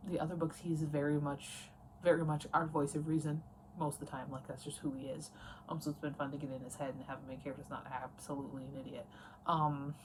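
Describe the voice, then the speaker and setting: Low-voiced young woman vlogging, noisy recording. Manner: self-interrupting, conversational. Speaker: book and writing vlogger. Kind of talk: casual rambling vlog chat. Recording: noticeable background noise.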